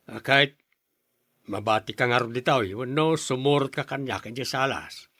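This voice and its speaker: An actor playing a concerned, questioning father in a radio drama, speaking in an expressive, coaxing way. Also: medium pitch, moderate pace, no echo, studio quality.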